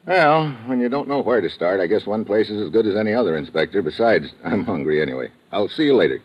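An actor playing a wisecracking, hard-boiled American private investigator in an old-time radio drama, speaking in a wry, clipped, slangy way. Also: male voice, on the low side, expressive, fast, slight background noise, lightly acted. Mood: wry, probing. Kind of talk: old-time radio detective acting and first-person narration. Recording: muffled old radio recording with music.

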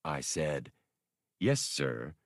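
A man's voice: a bass voice, big pitch swings, no room sound, studio quality, voice pushed down, exaggerated voice. A man voicing a serene old labor droid. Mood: calm. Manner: slow, leisurely drawl; formal, deferential. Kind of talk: audiobook character reading.

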